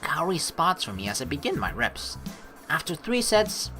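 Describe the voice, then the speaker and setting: Playful, bright-voiced woman doing game commentary. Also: low-pitched, very animated, moderate pace, soft background music. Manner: playful, teasing, giggly. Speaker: let's player. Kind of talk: gaming commentary mixed with reading visual novel text aloud.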